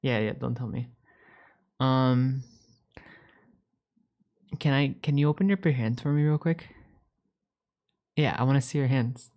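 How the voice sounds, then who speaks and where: A woman plays a young catboy roommate in a casual ASMR roleplay, with a very deep voice and a soft, dark tone. He is playful and a little needy, rambling along, stammering and doubling back on his words. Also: measured pace, long pauses. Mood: curious, chatty.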